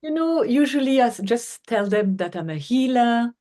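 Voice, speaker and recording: A middle-aged woman from France, speaking English with a French accent as an interview guest, earnest and unhurried with frequent fillers. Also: fairly high pitch, animated, warm tone. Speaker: guest, healer. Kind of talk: conversational interview.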